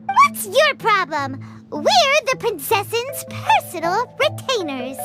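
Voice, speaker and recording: A tiny, feisty fairy companion from a game, with an extremely high, childlike voice that swoops wildly. The manner is plucky and exclamatory, over loud music. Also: female voice, wildly swooping pitch, takes it slowly, exaggerated voice. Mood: indignant. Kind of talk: video game voice acting.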